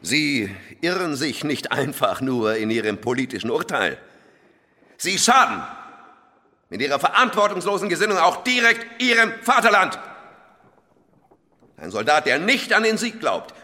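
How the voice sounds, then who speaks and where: A pompous, condescending government minister in a radio play. His delivery is forceful and bright, swinging widely from low asides to declamatory peaks. Long reverb surrounds his menacing, deliberate lecture. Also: very animated, exaggerated voice. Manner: oratorical, condescending, deliberate emphasis. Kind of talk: German radio drama acting.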